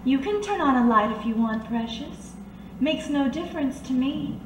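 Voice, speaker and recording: A woman playing a calm, worldly blind woman who speaks softly and invitingly in a radio drama. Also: sits high, moderate pace. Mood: quiet, inviting. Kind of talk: old-time radio drama acting. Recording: distant echoey room with background noise.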